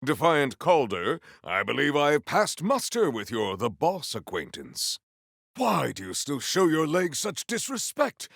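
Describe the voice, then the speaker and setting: A stern, honour-bound male warrior from a video game. He speaks slowly in formal, archaic phrases with dramatic, widely swinging intonation and dry wit. The voice has a gravelly edge and sounds close and dry. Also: mid-range voice, fairly bright tone, no echo, heavily acted. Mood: wry, stern. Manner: formal, archaic, theatrical diction. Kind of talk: video game character voice lines.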